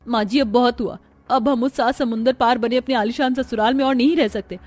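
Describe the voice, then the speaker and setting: A woman playing women of a joint family in a Hindi cartoon story, with expressive, earnest dramatic delivery. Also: a little high, brisk, natural voice. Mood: worried, firm. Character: women of a joint family, dutiful daughters-in-law and mothers-in-law.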